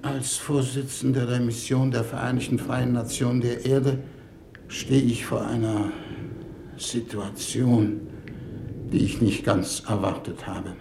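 Formal statesman, gravelly voice, ponderous delivery, idealistic, 1950s radio drama, roomy hissy recording. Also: mid-range voice, steady tone, unhurried. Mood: formal, measured. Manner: formal, ponderous, hesitant speechmaking. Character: deliberate, idealistic male foreign minister leading a diplomatic mission. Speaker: actor in a radio play. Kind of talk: 1950s German radio play acting.

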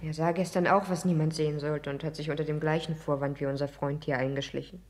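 An actress in a 1960s German radio play, playing a naive, anxious young wife who yearns for romance, speaking earnestly and breathlessly. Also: female voice, low-pitched, level tone, quick pace, darkish tone, a little hiss or hum, close to an everyday voice.

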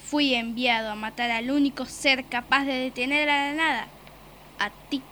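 Sharp, menacing beast. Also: female voice, sits high, fairly even intonation, moderate pace, slight background noise. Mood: grim, scornful. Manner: taunting, commanding. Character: menacing beast, servant of the Nothing, mocking predator. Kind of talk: radio drama acting.

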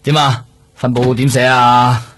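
A man's voice: mid-range voice, normal speed, a little hiss or hum, natural voice. An actor playing a weary, grumbling male police superior in a radio drama, speaking Hong Kong Cantonese with frequent sighs. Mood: gruff. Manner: sighing, grumbling, animated Cantonese officer.

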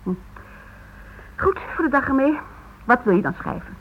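A woman's voice: sits high, lively intonation, moderate pace. An actress playing an eager, practical wife and mother in a radio play, speaking in a probing, coaxing way. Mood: disappointed. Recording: muffled old radio recording, faint noise.

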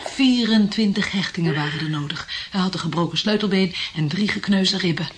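Woman as a low-voiced scornful schemer. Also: brisk, very noisy, lightly acted. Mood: scornful scheming. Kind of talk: radio drama acting.

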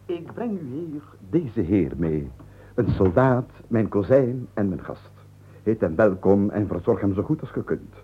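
Husky, mock-courtly Flemish husband. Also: male voice, on the low side, big pitch swings, unhurried, phone-quality sound, heavily acted. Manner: florid, mock-formal, teasing. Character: gleeful, scheming husband, mockingly courtly and sugary. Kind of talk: comic radio play acting. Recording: band-limited 1980s radio play.

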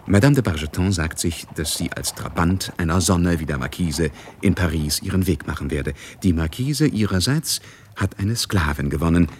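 An actor playing a composed, knowing narrator in a radio drama, delivering literary narration in a measured, wry way. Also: male voice, low voice, animated, slight background noise. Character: knowing, composed male narrator of a society novel.

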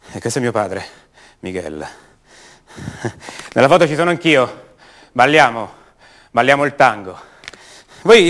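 An actor playing an anguished young son of a disappeared mother in a radio drama monologue, halting and repeating words with intensity. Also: mid-range voice, a bit bright, natural voice. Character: anguished young son of a disappeared woman, raised by her captors.

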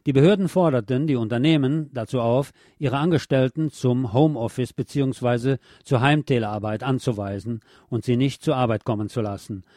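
A man delivers a scripted news magazine report in a mellow, relaxed voice at a medium pitch. His reading has a wry, colloquial edge, with faint music underneath. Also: no echo. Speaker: radio news presenter.

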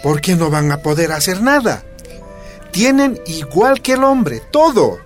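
A loving Bolivian father in a radio soap opera. He is a stubborn union man who reasons gently and slowly, with very lively intonation. Faint music plays underneath. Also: sits high. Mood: tender, reassuring.

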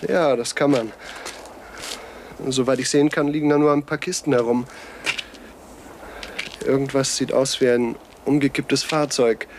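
An actor playing a stubborn, suspicious explorer in a 1970s German radio drama, speaking in terse, probing phrases. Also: male voice, medium pitch, steady tone, a little slow, long pauses, background noise, lightly acted. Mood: watchful. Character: stubborn, suspicious male explorer alone on a deserted island.

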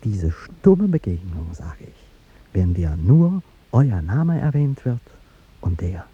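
An actor playing an ageing, courtly president in a 1950s radio drama, rhetorical and wistful, with a husky, creaky, dark voice. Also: male voice, lowish voice, big pitch swings, slow pace, exaggerated voice. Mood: wistful, musing. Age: older. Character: ageing male president, courteous, wistful, grandiloquent café guest. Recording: muffled old 1950s radio recording.

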